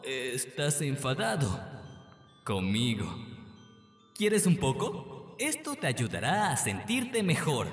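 Guy doing a friendly moody skeleton artist in a cartoon dub, latin american accent, kinda high, slow, very emotional. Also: full character voice. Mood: playful, excited. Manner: theatrical, emotional. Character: excitable, friendly skeleton artist with volatile moods. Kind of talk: animated fan dub acting. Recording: echoey long reverb, music behind.

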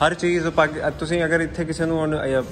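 A man's voice: fairly high pitch, little pitch movement, fairly quick. A man from Pakistan with a Pakistani Punjabi accent, casually explaining the sights as a local guide. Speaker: local guide to a visiting guest. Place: historic fort, museum rooms and open grounds. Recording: handheld vlog with crowd noise.

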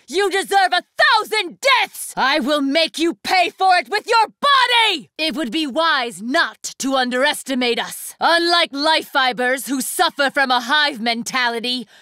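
A woman's voice: sits high, expressive, measured pace, hardly any pauses, sharp tone, no room sound, clean studio sound, heavily acted. An actress playing an imperious, ruthless young woman warrior, speaking in formal, haughty declamations for an anime fighting game. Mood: furious, defiant.

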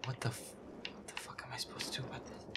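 A man playing a feisty, grumpy werewolf boyfriend who mutters and swears his way through a scary video game, often in a breathy whisper. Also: fast, natural voice. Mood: grumbling. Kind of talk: boyfriend audio roleplay as gameplay commentary.

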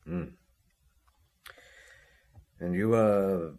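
An actor playing a shrewd police detective who questions tersely in a radio drama. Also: male voice, low voice, drawn-out words, mellow. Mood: terse, probing. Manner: terse, probing. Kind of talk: old radio drama acting.

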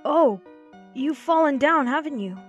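A woman's voice: sits high, expressive, measured pace, lo-fi sound. A woman voicing a kind, eager young goat monster boy in a comic dub. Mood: concerned, kind. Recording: faint music behind.

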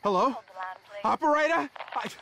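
An American actor playing a frightened, pleading motorist fleeing the undead in a game cutscene. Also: male voice, extremely high pitch, lively intonation, moderate pace, gravelly, crowd noise, heavily acted, rough recording. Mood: panicked, pleading. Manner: panicked, pleading. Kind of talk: dramatic game cutscene acting.

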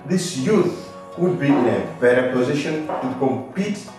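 A middle-aged man from South Sudan giving a measured, formal statement indoors. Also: medium pitch, lively intonation, darkish tone, faint music behind. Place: indoor room. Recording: echoey room, mic at a distance.

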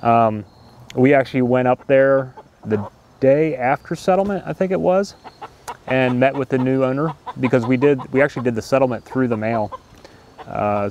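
Older male homesteader, relaxed rambling delivery to camera, outdoors, mid-range voice. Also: medium pitch, a little hiss or hum. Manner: rambling, easygoing, many fillers and restarts. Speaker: homestead vlogger. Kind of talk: casual talk to camera. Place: outdoor garden.

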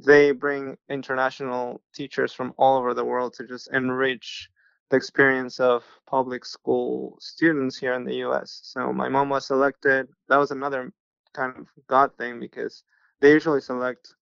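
A man from Mexico tells his story as a podcast guest, his voice gravelly yet smooth, held to a fairly level pitch. He speaks in an earnest, steady way. The sound is dry and a little dull. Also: moderate pace, dry recording. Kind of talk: casual interview storytelling.

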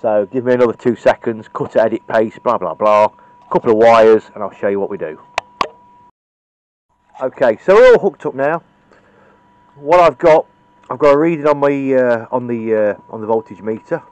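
A man's voice: medium pitch, moderate pace, long pauses, a little hiss or hum. A man giving an informal hands-on tutorial in a blunt, chatty, matter-of-fact manner. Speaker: hobbyist radio repairer demonstrating. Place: indoors at a cluttered workbench. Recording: dull, lo-fi camera audio.

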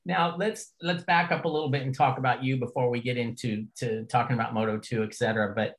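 An older man hosts a casual podcast interview. He rambles and jokes in a folksy way as he brings in a guest. His voice sits at an ordinary middle pitch. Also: fairly even intonation, fast. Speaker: podcast host.

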